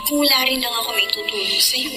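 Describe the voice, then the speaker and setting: A woman's voice: on the high side, quick pace, music in the background, lightly acted. An actress playing a devoted, hurt young girlfriend in a radio drama, earnest and imploring. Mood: concerned, hurt.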